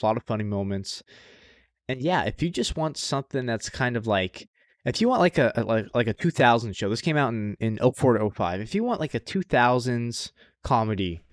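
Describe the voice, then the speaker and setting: A man's voice: mid-range voice, no echo, studio quality. A man co-hosting a podcast in casual chat, informal and sweary, repeating phrases for emphasis as he gives recommendations. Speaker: podcast co-host.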